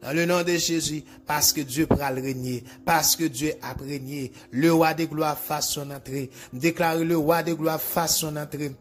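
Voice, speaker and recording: A man's voice: on the high side, fairly even intonation, moderate pace, few pauses, slight background noise. A Haitian man, a native Creole speaker, praying as a preacher in declarative, repetitive, incantatory phrases. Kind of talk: bedtime deliverance prayer, declarative. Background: music.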